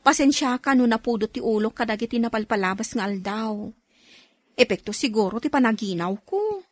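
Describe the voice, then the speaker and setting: A woman acting a nagging, quick-tempered young wife with an Ilocano accent in an amateur audio drama. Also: on the high side, lively intonation, no room sound. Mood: testy. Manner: scolding, emotive.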